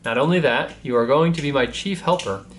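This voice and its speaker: A man's voice: medium pitch, brisk, slight background noise, close to an everyday voice. A man voicing a kindly old Pope in a storybook, speaking in an assured, fatherly way.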